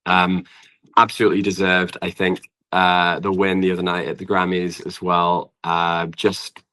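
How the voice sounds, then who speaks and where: A man's voice: low-pitched, completely flat delivery, unhurried, pushed and bright, clean tone. A young British man chatting casually and effusively as a musician guest in an interview, often hesitating and filling with "like". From Britain. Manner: effusive, informal, hesitant phrasing with frequent "like". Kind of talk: casual podcast interview chat.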